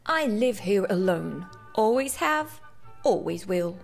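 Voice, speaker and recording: A woman's voice: mid-range voice, big pitch swings, slow pace, creaky, soft background music, full character voice. Woman voicing a grumpy, swooping storybook little boy. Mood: stubborn, proud. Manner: emphatic, declaiming. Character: grumpy, solitary little boy, stubborn and exasperated.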